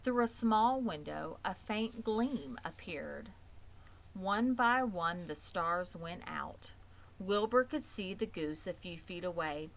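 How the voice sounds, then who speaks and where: A woman's voice: fairly low pitch, lively intonation, takes it slowly, poor audio. A woman reading scripted narration from a children's book as a storybook reader. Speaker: audiobook reader.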